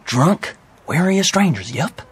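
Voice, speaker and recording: An actor playing an uneasy, folksy American outlaw informant in a western video game, talking in clipped, hurried phrases. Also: male voice, fairly high pitch, very animated, breathy edge, heavily acted. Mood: wary. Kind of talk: video game cutscene acting.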